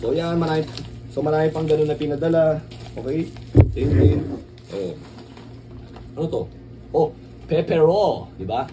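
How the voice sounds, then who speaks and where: A man's voice: fairly high pitch, normal speed, pauses often. A man with a Filipino accent chatting casually through an unboxing vlog, rambling and often checking for agreement. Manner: rambling, repeats tag questions like asking for agreement. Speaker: vlogger. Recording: clearly noisy, distant microphone.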